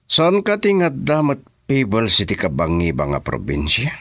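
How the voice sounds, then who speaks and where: A man with an Ilocano accent playing a shrewd businessman boss in a radio drama, with a drawn-out, swooping delivery. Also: lowish voice, wildly swooping pitch, no echo, clean studio sound. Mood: probing.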